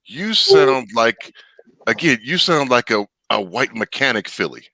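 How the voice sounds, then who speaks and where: A man's voice: mid-range voice, expressive, a little slow, sharp tone, no room sound, low-quality recording. A man speaking bluntly in casual online chat, stumbling over repeated words.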